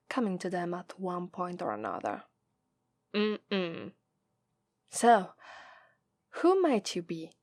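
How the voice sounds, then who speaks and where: Woman doing a smug demon lady who teases her captive, italian accent, slow asmr roleplay, really dry close sound. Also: medium pitch, no room sound. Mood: menacing, haughty. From Italy. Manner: slow, drawling, taunting, playful menace. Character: gloating, teasing female demon captor who loves kebab and brew.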